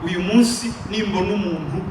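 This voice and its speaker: A man's voice: high voice, fairly quick. Rwandan guy preaching, emphatic call and response, far off mic with lots of noise. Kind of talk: sermon with interpreter. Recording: echoey room, picked up from afar, noisy.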